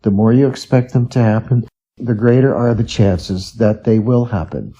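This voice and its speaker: Dark, husky man reading scripted tips. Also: lowish voice, normal speed, muffled recording. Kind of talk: scripted reading of self-help and study tips.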